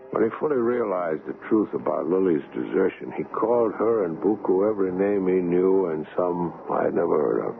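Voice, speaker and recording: An actor playing an earnest, indignant young ship's doctor, grave in manner, acting and narrating in a vintage radio drama. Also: male voice, sits low, gravelly. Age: young adult. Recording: muffled old radio broadcast.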